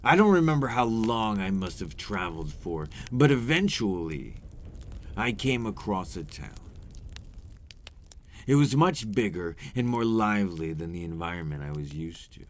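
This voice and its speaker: A man playing a weary, remorseful vampire captor in an intimate ASMR roleplay, confessing his past in measured, formal words. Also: on the low side, sing-song, a little hiss or hum. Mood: somber, resigned.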